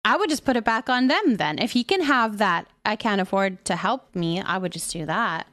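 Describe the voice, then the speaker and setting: A woman hosting a live stream, chatting casually with viewers in an animated, expressive, sympathetic and informal way as she reads and answers their comments. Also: medium pitch, normal speed.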